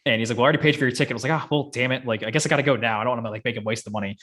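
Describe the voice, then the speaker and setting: A man's voice: medium pitch, rapid-fire, a bit bright. A man co-hosting a podcast, chatting casually about entertainment with joking, sardonic asides. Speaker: podcast co-host. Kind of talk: casual movie discussion.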